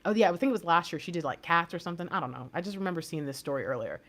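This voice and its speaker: A woman's voice: lowish voice, expressive, rapid-fire, a bit bright. A woman in her thirties from the United States, giving a casual tarot reading in a chatty, rambling way and laughing often. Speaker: tarot reader.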